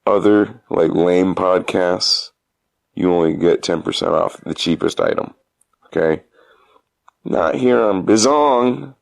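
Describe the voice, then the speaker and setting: Give a man with a lowish, creaky voice who talks very slowly. He plays announcer for a podcast intro and sponsor plug, halting and theatrical with absurd humour. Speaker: guest caller giving a show intro.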